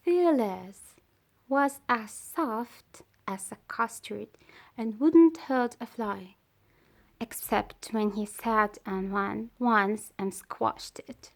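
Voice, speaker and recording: A woman reads a children's storybook aloud in a fairly high voice. Her pace is extremely slow and careful, with pauses between short phrases. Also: vocal fry. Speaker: storybook reader.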